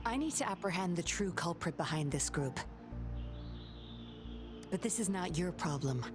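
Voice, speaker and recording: A woman playing a composed, sly undercover patroller in a video game, coolly confident and measured. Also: lowish voice, animated, lightly acted. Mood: serious, cool. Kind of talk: scripted video game voice acting. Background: game music.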